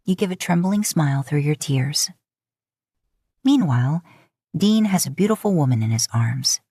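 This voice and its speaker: A woman's voice: deep voice, expressive, moderate pace, mellow, husky, no echo, clean studio sound. A woman reading scripted fiction as an audiobook narrator.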